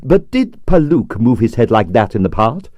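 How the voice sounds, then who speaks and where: A male narrator reads a polite, earnest detective in a near-normal voice, mellow and low-key. He asks his question tentatively, recorded dry and close for a storybook. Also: big pitch swings, moderate pace, dry recording, highs cut off, lightly acted.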